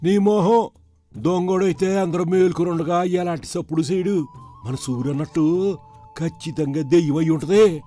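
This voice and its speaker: A man voicing an excitable, superstitious village manservant with exclamatory rustic speech in a folk tale. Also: on the high side, normal speed, soft background music, natural voice. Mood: scornful. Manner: exclamatory, colloquial rustic phrasing.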